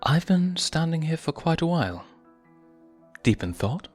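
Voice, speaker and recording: A man voicing a wise elderly lioness who speaks softly and consolingly, in a storybook reading. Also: on the high side, big pitch swings, a little slow, faint music behind, pitched above the performer's own voice. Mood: gentle, consoling. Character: gentle old lioness, wise consoling grandmother.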